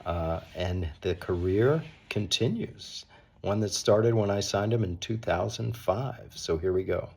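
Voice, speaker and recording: A middle-aged or older man with a cold, hoarse and slightly raspy, giving conversational, opinionated sports commentary as the host of a daily show. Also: fairly low pitch, a little slow.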